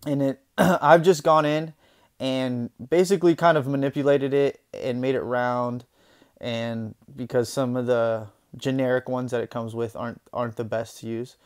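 A young man hosting a software tutorial, talking casually over his screen recording and often saying "boom" and "guys". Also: moderate pace, smooth. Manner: relaxed, conversational, says "boom" and "guys" often.

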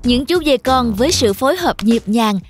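A woman's voice: on the high side, expressive, moderate pace. A woman narrator reading a scripted children's story. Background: music.

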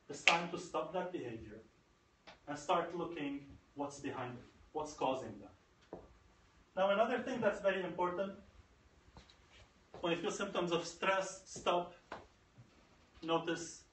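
Conference speaker, male, 30s, emphatic, slightly high voice, recorded from afar in a roomy hall. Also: fairly bright tone. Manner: emphatic, repeating phrases for effect. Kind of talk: motivational tech talk. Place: conference room. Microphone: far camera. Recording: echoey room, far from camera, faint noise.

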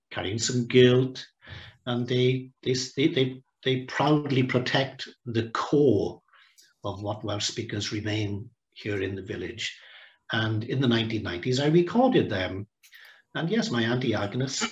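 Older guy talking on a video call from home, reflective, lots of pauses, echoey room. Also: mid-range voice. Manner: reflective, with many pauses and restarts. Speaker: guest speaker. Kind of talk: online seminar talk. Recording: roomy echo on a video call.

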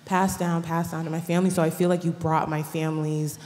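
Low-voiced young woman in echoey hall. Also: flat delivery, a little fast, a little mellow. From born in Ghana. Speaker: audience member asking a question. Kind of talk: casual, personal question. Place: audience of a large auditorium.

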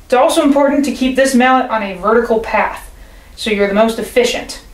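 A young woman teaches with a calm, patient delivery, her voice sitting a little high. She's filmed in her living room by a camera a few feet away, and the room adds a noticeable echo. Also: fairly quick, slight background noise. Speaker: percussion teacher. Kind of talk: instructional demonstration.

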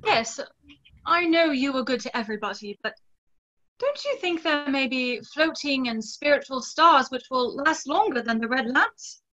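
A woman's voice: sits high, expressive, moderate pace, bright, forceful tone. An actress in her twenties playing a dreamy, fanciful young woman in a play reading over a video call. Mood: earnest. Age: 20s. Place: small indoor room, video call. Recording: dull video-call audio.

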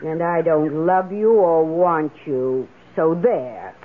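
An actress playing a wry, wistful middle-aged schoolteacher and mistress, expressively, in an old-time radio drama. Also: female voice, low-pitched, slow, slight background noise. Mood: cold, dismissive. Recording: muffled old radio broadcast.

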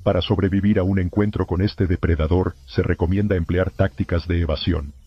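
Solemn male emergency announcer played by a man, low and raspy, formal horror-broadcast warnings, lo-fi sound. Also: fairly even intonation, brisk, no room sound. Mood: grave. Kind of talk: scripted narration, analog horror warning broadcast.

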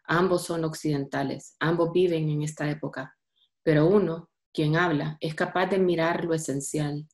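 A woman in her thirties presenting a literature session on a video call, halting with fillers and restarts. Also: sits low, steady tone, darkish tone. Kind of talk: casual online talk.

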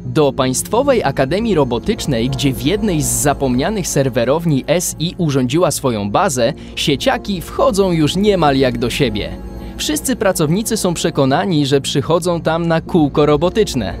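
A man acting as the narrator of a children's adventure radio play. His voice sits a little high for a man. He tells the story as scripted narration over faint music. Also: animated, normal speed, lightly acted. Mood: storytelling. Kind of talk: scripted audio drama narration.

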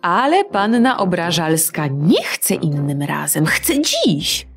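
A woman narrating a children's audiobook in an expressive storytelling manner. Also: fairly low pitch, slow, soft background music. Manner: lively, animated storytelling.

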